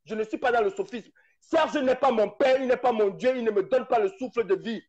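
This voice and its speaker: High-voiced impassioned man, lo-fi stream. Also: fairly quick, a little mellow. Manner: emphatic, repetitive, rhetorical questions. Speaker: guest in a live stream. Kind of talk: impassioned political talk. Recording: dull lo-fi live stream audio.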